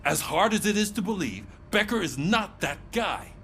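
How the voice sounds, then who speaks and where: Older, animated, commanding police sergeant. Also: male voice, high-pitched, normal speed, a little hiss or hum, full character voice. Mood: firm, weary. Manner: blunt, authoritative.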